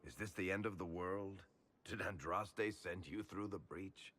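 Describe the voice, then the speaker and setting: An actor playing a wry, world-weary businessman and storyteller in a fantasy game, with a gravelly voice and a dry, conversational manner. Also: low voice, unhurried, a bit bright. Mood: earnest, wry. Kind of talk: naturalistic video game dialogue.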